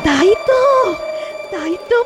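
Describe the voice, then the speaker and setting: Cartoon ghost woman, extremely high voice, cruel and taunting, bent on revenge, over heavy music. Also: expressive, slow, heavily acted. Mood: menacing, taunting. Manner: menacing, taunting. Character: vengeful female ghost of a murdered woman, menacing. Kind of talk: animated horror moral story acting.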